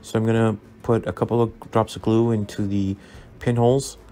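A man narrating a scale model build as a relaxed tutorial, easygoing and full of ums and uhs as he recommends each step. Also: on the low side, moderate pace. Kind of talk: relaxed instructional voice-over. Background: soft background music.

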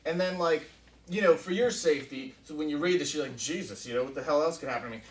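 A man's voice: fairly high pitch, quick pace. An echoey, distant recording of a man leading a table read as director. He talks informally, with plenty of "you know" and "like," over faint noise in a meeting room. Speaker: film director. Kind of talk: reading stage directions and explaining shoots at a table read. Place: small indoor conference room. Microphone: camera mic across the table.

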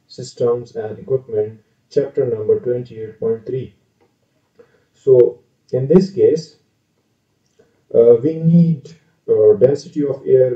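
A man hosting his own channel, giving a casual screen-share tutorial on an engineering calculation, hesitant with many fillers and self-corrections. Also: medium pitch. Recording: roomy, distant, dull lo-fi sound.

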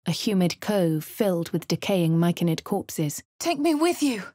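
A woman's voice: lowish voice, very animated, moderate pace, mellow, no echo, clean studio sound. An actress voicing a posh female adventurer with a posh English accent, delivering cool, wry game lines and narration. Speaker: player character. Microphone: close studio mic, dry.

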